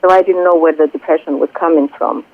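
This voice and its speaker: A woman from Greece with a Greek accent, a spiritual coach speaking earnestly and haltingly as a guest on a radio interview. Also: sits low, steady tone, no echo. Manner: earnest, halting, many pauses and fillers. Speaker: spiritual coach and Reiki healer. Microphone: telephone. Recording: tinny phone line, dry.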